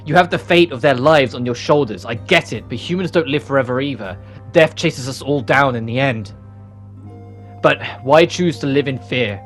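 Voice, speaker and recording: A man's voice: mid-range voice, moderate pace, fairly bright tone. A man hosting a let's play, reading story dialogue aloud and adding casual commentary. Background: game music.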